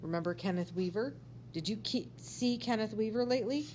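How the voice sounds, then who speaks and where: A woman's voice: medium pitch, brisk, a little mellow, a little hiss or hum, pitched above the performer's own voice, close to an everyday voice. A woman voicing a bossy, scheming schoolgirl in a storybook, telling tall tales in an insistent, conspiratorial way. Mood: dark, menacing.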